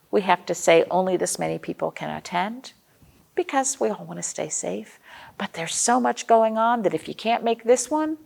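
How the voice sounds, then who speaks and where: A woman leads a storytime for little children. Her pitch swings widely and expressively, with a creaky edge to the voice. She talks directly to her young listeners and draws them in with questions. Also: darkish tone. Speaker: children's librarian and storytime host.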